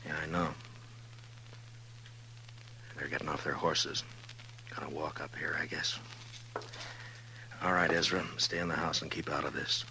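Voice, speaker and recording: A man's voice: rumbling low, flat delivery, unhurried, long pauses, pushed and bright, full character voice. An actor playing a weary, stern American lawman in a 1950s radio western, speaking in terse, grave lines. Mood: terse, resolute. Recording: noisy 1950s radio recording.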